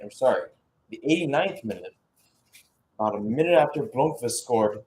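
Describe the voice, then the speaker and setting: A man giving live radio-style football play-by-play, halting and correcting himself in places, then surging with the action. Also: mid-range voice, a little mellow, low-quality recording.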